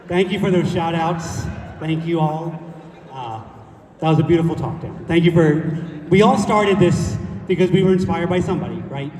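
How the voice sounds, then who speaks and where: A man's voice: fairly high pitch, a little mellow. Playful male emcee in an echoey hall. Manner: playful, joking banter with the audience. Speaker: emcee introducing speakers. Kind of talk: lively stage introductions. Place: large conference hall stage. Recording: echoey hall from far off, crowd audible.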